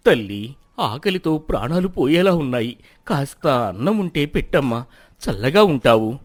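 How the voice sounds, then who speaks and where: A man's voice: medium pitch, very animated, moderate pace. A man playing a starving, pious old beggar who calls out pleadingly for alms in a moral story. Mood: pleading, hungry.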